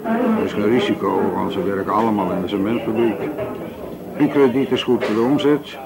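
An actor playing a self-righteous, bossy café owner in a radio drama, grumbling and gossipy, with a gravelly voice. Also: male voice, fairly low pitch, big pitch swings, fairly quick, poor audio. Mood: defensive grumbling. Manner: grumbling, hesitant fillers, seeks agreement. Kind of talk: naturalistic radio drama dialogue. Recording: busy crowd noise around the voice. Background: café chatter.

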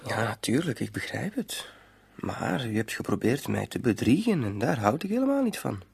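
An actor in a radio play, a man, playing a polite, greedy blackmailer with a calmly menacing manner. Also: mid-range voice, normal speed, vocal fry, husky, exaggerated voice. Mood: smugly courteous. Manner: mock-courteous, calmly menacing. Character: smooth-talking, polite, greedy male blackmailer. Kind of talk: Dutch-language radio drama acting.

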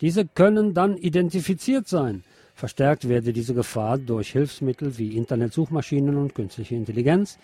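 A man's voice: mid-range voice, mellow, slightly rough. A man presenting radio news, reading reports aloud with brief asides.

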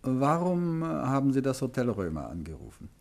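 An actor playing a calm, clever detective in a 1950s radio drama, coaxing and politely insistent. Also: male voice, mid-range voice, moderate pace, gravelly. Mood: probing. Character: calm clever male detective, gently probing.

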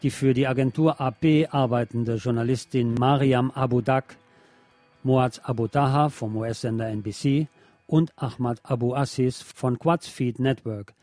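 Mellow-voiced man reading the news. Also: mid-range voice, normal speed, no room sound, faint music behind. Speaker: radio presenter reading news and a printed interview.

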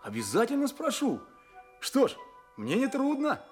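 An actor playing a naive, plaintive poor labourer in an audio fairy-tale play. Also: male voice, very high-pitched, huge pitch swings, normal speed, faint music behind, full character voice. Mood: obliging, naive. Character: foolish poor male labourer, plaintive, self-absorbed, naive.

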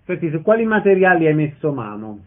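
A man's voice: a little high, big pitch swings, fairly quick. A man hosting a radio interview, putting short conversational questions to a guest. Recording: noisy, thin, phone-like radio line.

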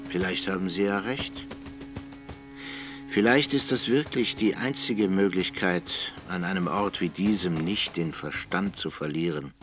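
A man's voice: sits low, unhurried, fairly bright tone, faint music behind. An actor playing a resigned, fatalistic small-town man, speaking sombrely in a radio play. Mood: bleak, resigned.

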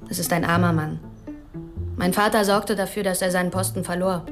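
An actress playing a cool, candid young heiress in a radio play, composed, blunt and matter-of-fact. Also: female voice, on the low side, fairly even intonation, brisk, music in the background, lightly acted. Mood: cool candour.

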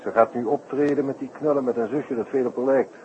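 A man playing an investigator's brisk, informal companion in a 1960s radio drama, with clipped colloquial replies. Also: mid-range voice, steady tone, warm tone, lightly acted. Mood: confiding. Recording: muffled, noisy 1960s radio recording.

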